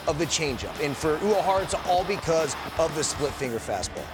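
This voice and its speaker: An American man talks sport as a studio analyst, at a quick pace and a slightly raised pitch. He is conversational and emphatic, with a music bed clearly audible. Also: lively intonation. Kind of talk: unscripted panel commentary.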